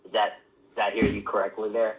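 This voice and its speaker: A man's voice: lowish voice, completely flat delivery, a little fast, gravelly. A man asking questions as an analyst on an earnings call, polite and full of restarts. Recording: muffled phone-line audio.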